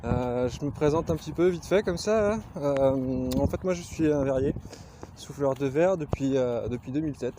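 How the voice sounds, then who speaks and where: A man's voice: medium pitch, normal speed. A man in his thirties from Lorraine with a Lorraine accent, chatting casually to camera as a glassblower vlogger, rambling with many hesitations. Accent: Lorraine French. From Lorraine, France. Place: outdoors on a countryside walk. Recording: outdoor noise with passing vehicles.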